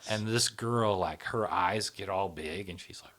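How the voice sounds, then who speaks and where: A man in casual interview conversation, talking in a relaxed, rambling way with many fillers and restarts. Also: low-pitched, unhurried. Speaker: channel founder, interviewee.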